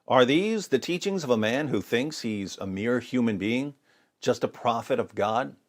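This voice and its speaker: A man giving polemical religious commentary with scripture readings, in an emphatic, sarcastic and theatrical way. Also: mid-range voice.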